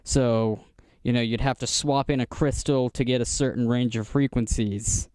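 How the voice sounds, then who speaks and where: An American man giving a casual, unscripted show-and-tell narration in a halting, explanatory manner. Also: mid-range voice, fairly even intonation, a little mellow, dry recording. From United States. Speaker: vlogger showing vintage radio gear.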